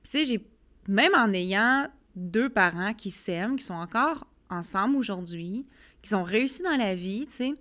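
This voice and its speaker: A woman's voice: medium pitch, moderate pace. A young woman with a Quebec French accent, a podcast guest speaking candidly about her life, with many fillers and quoted thoughts. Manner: earnest, many fillers, lively storytelling with quoted inner voice. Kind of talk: candid personal testimony.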